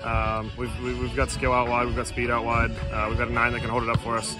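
Guy in his 30s, soccer coach, chatting casually outside at a stadium, avg pitch, repeats words while thinking. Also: completely flat delivery, fast, loud music behind the voice. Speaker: high school soccer coach. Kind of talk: casual post-match interview answers. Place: outdoor stadium trackside.

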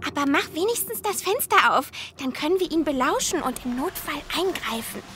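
Bright, high-pitched curious little girl. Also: fairly quick, slight background noise. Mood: eager. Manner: childlike, expressive. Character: curious, eager little girl, a bit impatient. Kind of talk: children's audio drama acting.

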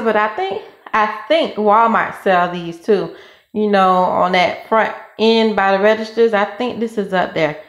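A woman's voice: on the high side, fairly bright tone. A woman with a Southern US accent chatting casually in a folksy, informal way, as a vlogger reviewing a product. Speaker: vlogger reviewing a cleaning gadget. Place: indoors in a home bathroom. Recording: roomy echo of hard-walled room.

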